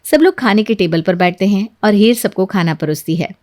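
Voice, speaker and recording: A woman's voice: medium pitch, a little fast, a little mellow. A woman narrating a scripted family moral story as a storyteller.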